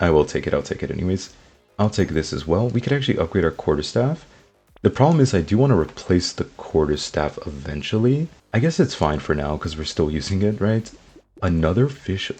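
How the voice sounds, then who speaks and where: A man with a slightly sick, rough throat, giving upbeat casual commentary over his gameplay and talking to his viewers. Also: deep voice, a little mellow. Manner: upbeat, talks to viewers as guys. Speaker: gaming YouTuber.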